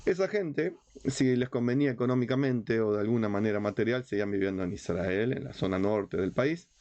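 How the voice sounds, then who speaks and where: A man's voice: medium pitch, fairly quick, a little mellow, muffled recording. A man from Uruguay with a Rioplatense accent, teaching religious history as a rabbi in an informal, didactic and emphatic lecture style. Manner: didactic, emphatic, with rhetorical questions and repetition.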